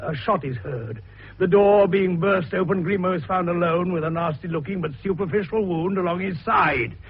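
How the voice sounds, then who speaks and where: An actor playing an older, eccentric male doctor sleuth in a radio detective drama, with a gravelly voice and an avuncular, theatrical way of explaining. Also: a little high, a little hiss or hum, natural voice. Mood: relishing explanation. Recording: dull, muffled radio recording.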